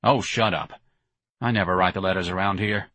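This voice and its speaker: A man's voice: deep voice, steady tone, fairly quick, fairly bright tone, no room sound. A man voicing an irritable, exasperated male business owner who is curt and demanding. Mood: irritated.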